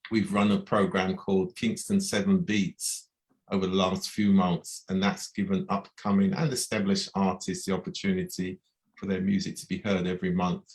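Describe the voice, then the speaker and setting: A man from the UK hosts an online community event in a very deep voice. His delivery is measured and level, with little rise and fall. He often restarts his phrases as he goes. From United Kingdom. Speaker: host.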